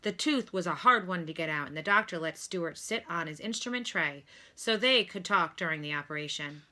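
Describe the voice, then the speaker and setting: A woman reading a children's storybook aloud like a teacher, with deliberate, instructive delivery. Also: lowish voice, lively intonation, bright, forceful tone. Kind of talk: read-aloud storybook narration with lesson prompts.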